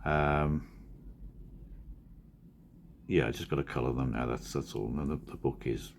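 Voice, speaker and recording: Hoarse man, very deep husky voice, rambling, slight background hiss. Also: steady tone, unhurried. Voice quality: croaky, husky. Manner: rambling, self-correcting, drowsy. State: hoarse from a cold. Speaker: cartoonist vlogger. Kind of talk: casual studio vlog talk.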